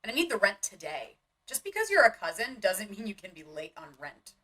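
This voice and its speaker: A woman's voice: medium pitch, very animated, brisk, bright, forceful tone, slightly rough, far from the mic, full character voice. A woman playing a brusque, jaded young city roommate in a motion comic, curt and bossy.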